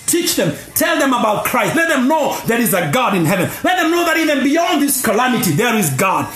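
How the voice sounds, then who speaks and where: A man preaching a sermon with passion, often shouting as his voice climbs. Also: big pitch swings, a little fast. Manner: impassioned, often shouting and rising in pitch. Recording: roomy echo with faint music behind.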